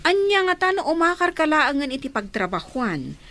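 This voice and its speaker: An actress playing a frank, protective older-sister confidante in a radio drama, with a Filipino accent. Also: female voice, sits high, big pitch swings, normal speed, fairly bright tone, exaggerated voice. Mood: concerned.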